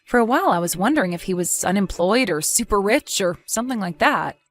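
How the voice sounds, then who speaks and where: A woman's voice: mid-range voice, lively intonation, quick pace, soft background music, lightly acted. A woman playing a caring, cautious and practical wife, fussing conversationally in an audio drama. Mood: curious, casual.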